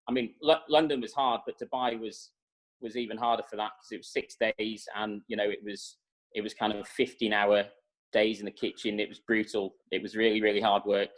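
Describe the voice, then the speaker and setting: A man in his thirties talks over a video call with a flat, even tone. He answers at a steady pace, with many fillers and small restarts. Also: on the low side, brisk, poor audio. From North Wales. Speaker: executive chef, guest. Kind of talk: interview answers on a webinar.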